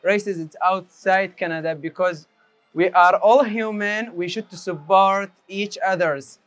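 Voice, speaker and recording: A man's voice: high voice, steady tone, unhurried, fairly bright tone, dry recording, soft background music. An earnest man from Iraq, speaking simple as he tells his story. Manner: simple non-native English, earnest. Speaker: interviewee. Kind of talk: personal testimony.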